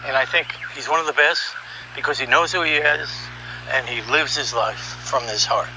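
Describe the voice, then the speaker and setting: A man's voice: mid-range voice, moderate pace, pushed and bright, a little hiss or hum, low-quality recording. A middle-aged man telling a first-person story conversationally, reflective and emphatic, repeating phrases to make his point. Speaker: narrator, voiceover producer.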